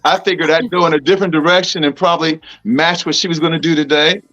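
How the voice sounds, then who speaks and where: Casting: American male guest, higher-than-average pitch, easygoing jokester, conversational delivery. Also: steady tone, fast, a bit bright, highs cut off. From Washington, D.C., United States. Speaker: guest, musician. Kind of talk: casual chat. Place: video call.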